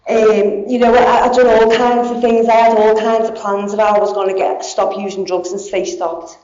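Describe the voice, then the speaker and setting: A woman's voice: a little high, little pitch movement, moderate pace, a little mellow. A woman, giving a candid, blunt personal recovery talk with plenty of swearing. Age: 40s. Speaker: speaker in recovery. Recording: echoey dull hall sound.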